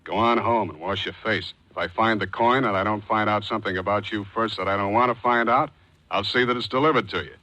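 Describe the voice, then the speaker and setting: An American actor in a 1940s radio drama playing a cool, wisecracking private detective, dry, clipped and sardonic. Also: male voice, lowish voice, brisk, pushed and bright, slight background noise, low-quality recording. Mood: wry, dismissive. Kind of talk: 1940s radio acting with first-person narration. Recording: old 1940s radio recording.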